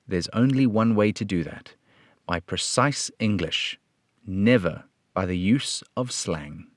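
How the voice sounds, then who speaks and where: A man voicing a firm, upright police detective in an old-time radio play, speaking in a measured, lecturing way. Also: fairly low pitch, animated, no room sound, clean studio sound.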